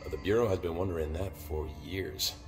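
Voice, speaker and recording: Casting: actor for crusty, exasperated office man in a game, low register, offhand sarcasm. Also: brisk, natural voice. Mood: casual, wry. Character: gruff, sweary, exasperated male bureau staffer. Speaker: supporting game character. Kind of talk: video game dialogue acting. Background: music.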